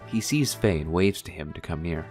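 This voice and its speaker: A man's voice: low voice, expressive, normal speed, a little mellow, soft background music. A man reading scripted, descriptive narration for an audio drama.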